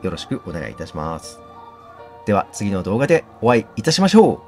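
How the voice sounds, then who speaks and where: A man's voice: on the low side, big pitch swings, fairly quick, a little mellow, soft background music. A man hosting a game playthrough, reading text aloud and commenting on it.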